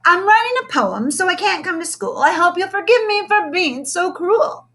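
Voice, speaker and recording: A dreamy little schoolgirl from a children's storybook, acted by a woman. She speaks in playful singsong rhyme, very high and bright, with a swooping melody. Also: very animated, normal speed, full character voice. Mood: playfully poetic. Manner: singsong verse.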